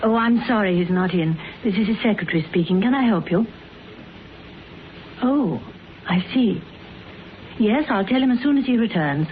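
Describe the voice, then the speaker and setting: A woman's voice: medium pitch, animated, normal speed, pauses often, lightly acted. A woman acting a cool, efficient British secretary in a radio drama, clipped, dry and businesslike. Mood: polite. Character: cool, tart, efficient female detective's secretary. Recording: tinny narrowband radio recording, faint noise.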